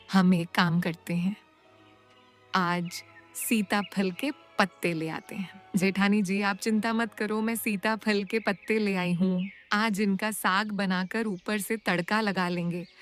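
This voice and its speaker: A woman's voice: mid-range voice, natural voice. A woman playing a helpful, practical young daughter-in-law in a cartoon story. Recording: music behind the voice.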